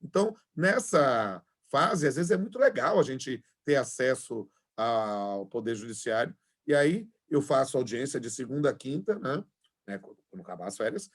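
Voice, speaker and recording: A man's voice: mid-range voice, lively intonation, normal speed. A man in his forties with a Bahian accent, a law professor chatting informally to introduce himself to an online class over a video call, with many fillers. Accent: Bahian Portuguese. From Salvador, Bahia, Brazil. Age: 40s. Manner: chatty, filler-heavy, friendly. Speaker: law professor and labor judge.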